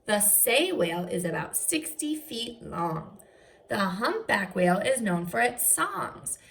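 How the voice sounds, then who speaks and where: A woman's voice: mid-range voice, big pitch swings, slow pace, gravelly, fairly bright tone. A woman teacher giving a read-aloud lesson to young children, speaking in an instructional, enunciated way. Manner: instructional, enunciated, posing questions to young listeners. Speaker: first-grade teacher.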